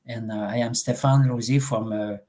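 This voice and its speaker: A man from Quebec with a French-Canadian accent, French as his first language, interviewing a guest in a live online chat, pausing often with fillers and restarts. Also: medium pitch, fairly even intonation, a little mellow. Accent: Québécois French. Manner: hesitant, many fillers and restarts.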